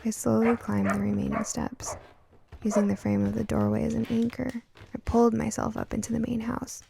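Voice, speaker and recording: A woman playing a curious, earnest young woman who narrates her own spooky radio drama in a measured, storytelling way. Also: a little high, flat delivery, creaky, dark tone, slight background noise. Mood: measured, somber. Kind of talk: first-person scripted radio drama narration.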